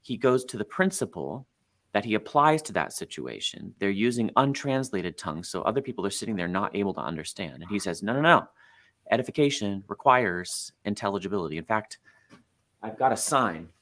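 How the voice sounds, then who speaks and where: A man's voice: on the low side, lively intonation, fairly quick, a bit bright, dry recording. A man in his forties, a guest author, explaining things animatedly in a podcast conversation, with frequent fillers. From United States. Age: 40s.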